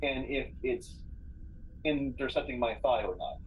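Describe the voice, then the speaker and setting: A man playing a tabletop roleplaying game, thinking aloud haltingly as he casually speculates and plans. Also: medium pitch, slight background noise, rough recording.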